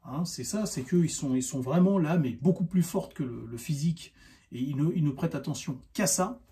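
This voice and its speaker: A man giving an unscripted, argumentative opinion monologue, insistent and halting, often repeating himself. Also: on the high side, expressive, warm tone. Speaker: online video commentator.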